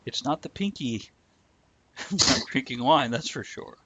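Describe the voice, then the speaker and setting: Through muffled, low-fidelity livestream audio comes a man hosting a casual show. He talks informally, mixing wry jokes with plain walk-through explanations. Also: expressive, measured pace, fairly bright tone, slight background noise. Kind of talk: casual joking banter and step-by-step explaining.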